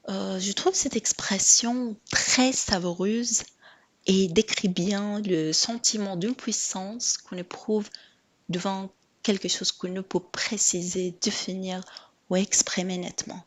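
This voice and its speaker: A woman from Montreal reading a short message in a soft, thoughtful way. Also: mid-range voice. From Montreal, Canada. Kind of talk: read-aloud video message.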